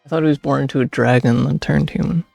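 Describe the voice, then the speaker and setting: Casting: young man, game streamer, strongly creaky voice, casual commentary, dry sound with faint music behind. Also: medium pitch, fairly even intonation, quick pace, a little mellow, dry recording.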